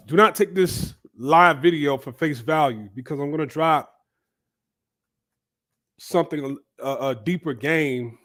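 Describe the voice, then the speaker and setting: Male channel host, somewhat high pitch, congested from a cold, rambling casual commentary. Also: a bit bright. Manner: loose, repetitive, thinking aloud with fillers. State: stuffy from a cold.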